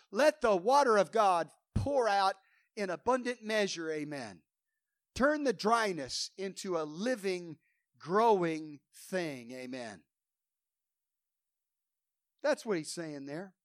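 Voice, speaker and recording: A pastor preaching a fervent sermon, quoting scripture with rising emphasis, his voice a little hoarse. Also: high-pitched, very animated, slow pace, a bit bright, no room sound, clean studio sound.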